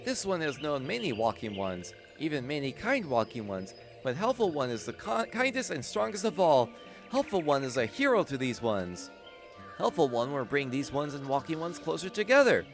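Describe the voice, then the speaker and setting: A small, grateful forest fae speaks in a high, earnest voice, put on by a man. It talks of itself in a formal, childlike way, and its pitch rises and falls a great deal. Game music plays behind it. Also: sing-song, moderate pace.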